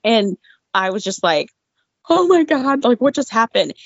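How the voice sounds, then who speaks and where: A woman guest telling an animated story about her veterinary internship, laughing often and breaking into exclaimed asides, her voice a little worn. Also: a little high, a little slow, vocal fry, no echo. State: losing her voice. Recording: dull, dry lo-fi audio.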